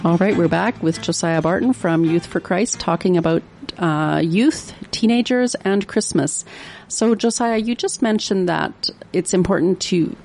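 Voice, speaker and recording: A woman's voice: fairly low pitch, unhurried, darkish tone, soft background music. A woman hosting a radio interview in a studio, talking hesitantly with many fillers and self-deprecating asides. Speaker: radio show host.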